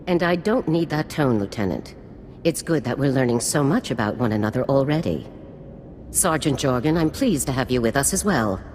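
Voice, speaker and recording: A woman's voice: very deep voice, expressive, normal speed, a little mellow. An actress playing a stern, commanding female special forces general in a video game, speaking in a formal, authoritative, clipped way. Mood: stern, measured. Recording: long reverb with faint noise.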